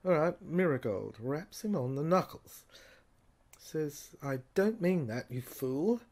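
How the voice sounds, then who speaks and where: A woman playing a weary researcher in an audio drama, haltingly reading out translated fragments and repeating phrases. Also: deep voice, lively intonation, a little mellow, heavily acted. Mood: puzzled, halting. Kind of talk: amateur serial audio drama acting, halting read-aloud dialogue.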